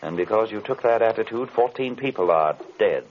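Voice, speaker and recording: A man playing a suave, commanding foreign detective in an old-time radio drama, speaking calmly and ominously in formal, measured phrases. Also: lowish voice, lightly acted. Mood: grave, probing. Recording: muffled, noisy old radio recording.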